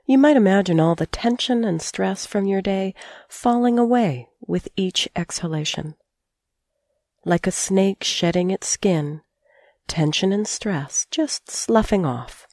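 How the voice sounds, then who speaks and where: A middle-aged woman guiding a sleep meditation as a teacher, calm and soothing, speaking in measured phrases with long pauses. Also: mid-range voice, no echo. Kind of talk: guided sleep meditation narration.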